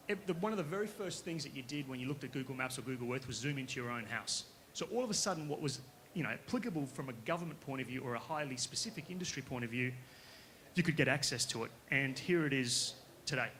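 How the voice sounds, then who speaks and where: Bright-voiced Australian man presenting. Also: on the high side, slight background noise. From Western Australia. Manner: casual, conversational, with frequent tag questions. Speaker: company founder pitching to investors. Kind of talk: conference presentation. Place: conference stage.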